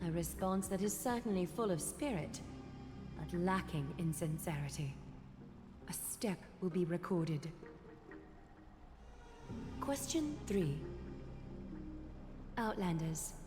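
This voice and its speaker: A woman voicing a stern, regal female guardian who speaks in a formal, measured way. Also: on the low side, animated. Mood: solemn. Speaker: guardian judging outsiders. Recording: game audio with reverb and music.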